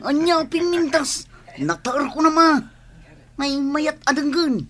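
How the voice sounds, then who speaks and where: A man's voice: very high voice, takes it slowly, sharp tone, heavily acted. Man playing a bandit sidekick, Ilocano accent, wary yet loyal, radio drama delivery. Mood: eager, loyal. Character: wary but loyal henchman in a bandit gang.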